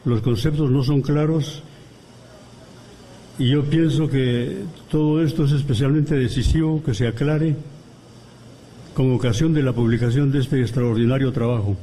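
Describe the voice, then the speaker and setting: A man from Guatemala, giving an academic lecture as guest commentator at a book presentation, measured and deliberate, pausing between phrases. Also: mid-range voice, fairly even intonation, warm tone, a little hiss or hum, low-quality recording. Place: university auditorium.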